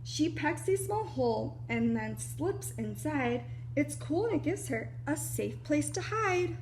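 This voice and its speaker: A woman reading a children's picture book aloud like a preschool teacher, animated and explanatory, with little asides to young listeners. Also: high voice, measured pace, a little hiss or hum, poor audio.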